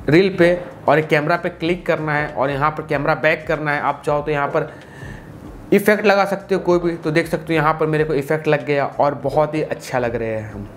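Casting: young man, slightly high voice, informal tutorial host, faint background noise. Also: steady tone, moderate pace. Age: 20s. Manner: chatty, informal, mixes in English tech words. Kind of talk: casual step-by-step tutorial to camera. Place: small indoor room with computer setup. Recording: clearly noisy room recording.

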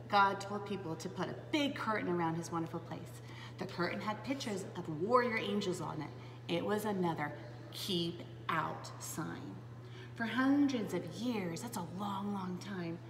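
A woman in her thirties reading a children's Bible story aloud to preschoolers in an animated, dramatic way. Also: lowish voice. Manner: animated and dramatic, with gasps, hushed asides and questions to young listeners. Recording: roomy, echoing space with clear background noise.

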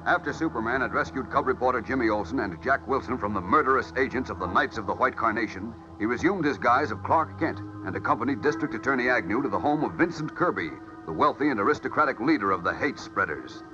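An actor playing the urgent, dramatic narrator of a 1940s radio superhero serial. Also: male voice, medium pitch, lively intonation, fast talker, lightly acted. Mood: dramatic, suspenseful. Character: breathless male narrator of a superhero adventure serial. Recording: dull old radio recording with organ music.

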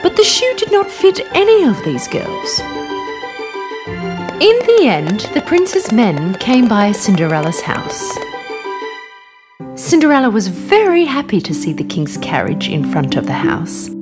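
A woman narrating a children's fairy tale in a scripted, storybook reading. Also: medium pitch. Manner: slow, very expressive reading. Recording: narration over background music.

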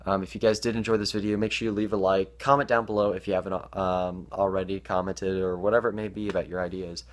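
A man's voice: sits low, flat delivery, fast. A teenage boy vlogging to his webcam in a small bedroom, hesitant with many ums, sounding stuffed up from allergies. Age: teens. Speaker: gaming YouTuber. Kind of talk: casual vlog to camera.

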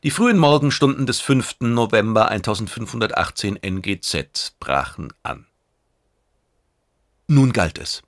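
Low-voiced male audiobook narrator, close and dry. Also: expressive, measured pace, no echo. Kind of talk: dramatic scripted narration of a science fiction novel.

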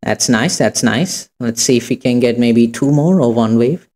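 A man with a soft, dark-toned voice at a middling pitch walks through an informal tutorial. He speaks casually and feels his way through the steps. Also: normal speed. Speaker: tutorial host. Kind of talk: informal screen-recorded tutorial.

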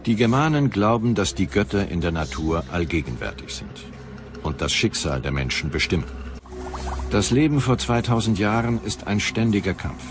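A man's voice: fairly low pitch, sing-song, normal speed. A man narrating a documentary from a script. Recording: music behind the voice.

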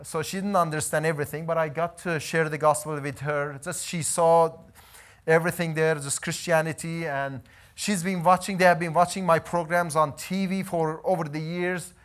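Male missionary, 30s, lively storytelling testimony, fairly high voice. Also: moderate pace. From Iran. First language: Azeri. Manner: animated storytelling, gesturing while pacing. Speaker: missionary preacher. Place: church hall, indoors.

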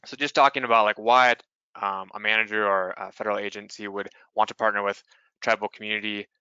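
A man presents in a webinar in an earnest, measured way, with a few fillers. His lowish voice is bright and crisp. The audio is dry and slightly dull, like a video-call mic. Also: little pitch movement, no echo. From US Pacific Northwest.

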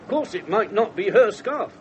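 An actor with an English accent playing a gruff, teasing middle-aged police inspector in a radio drama. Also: male voice, high-pitched, sing-song, moderate pace. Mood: brisk. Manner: brusque, sardonic, informal. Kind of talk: 1970s British radio drama acting. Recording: noisy 1970s radio broadcast recording.

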